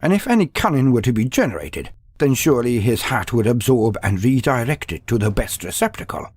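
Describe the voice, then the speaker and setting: Dramatic male storyteller with a husky voice. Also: medium pitch, very animated, a little mellow. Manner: theatrical, with dramatic pauses and asides. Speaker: narrator of a lore guide. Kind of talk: dramatic scripted storytelling narration.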